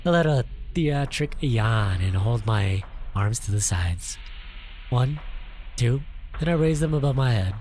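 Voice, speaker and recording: A video host reading a visual novel's text aloud in an expressive storytelling style. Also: medium pitch, unhurried, faint music behind.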